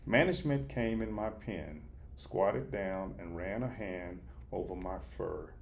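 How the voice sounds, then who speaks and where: A man narrating a storybook as a reader, deliberate, in short and sometimes halting phrases. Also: low voice, gravelly, a little hiss or hum. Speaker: audiobook reader.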